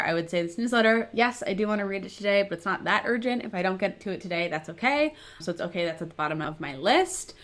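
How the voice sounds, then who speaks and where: Slightly low-voiced woman giving a tutorial. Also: a bit bright. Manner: conversational, explanatory, thinking aloud. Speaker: software product presenter. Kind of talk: casual screen-share tutorial narration.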